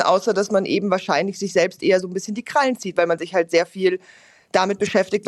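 Interviewed female performer, thirties, faint Viennese accent, medium pitch, reflective, close dry recording. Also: no echo. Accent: light Viennese. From Vienna, Austria. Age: 30s.

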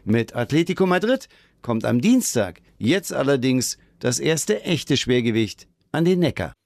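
A man's voice: medium pitch, big pitch swings, a little slow, creaky, a little mellow, no room sound. A man reading a scripted sports report as a radio reporter.

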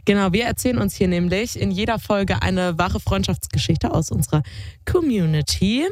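A woman's voice: medium pitch, normal speed, a little mellow, no echo, clean studio sound. A young woman co-hosting a podcast, chatting casually and animatedly with slangy everyday talk. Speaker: podcast co-host. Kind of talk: casual chat.

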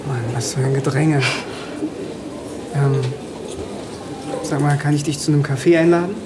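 A man's voice: fairly high pitch, steady tone, moderate pace, close to an everyday voice. Male actor as friendly young student, eager, noisy backdrop.

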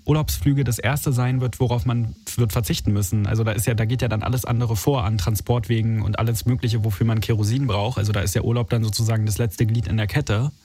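Mellow young German man chatting casually. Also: lowish voice, fairly even intonation, quick pace, hardly any pauses. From Berlin, Germany. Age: 20s. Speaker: interviewer leading a conversation. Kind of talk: casual interview chat.

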